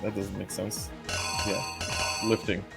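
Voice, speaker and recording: Creaky, slow-talking game co-streamer. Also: low-pitched, flat delivery, over loud music. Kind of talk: casual playthrough banter.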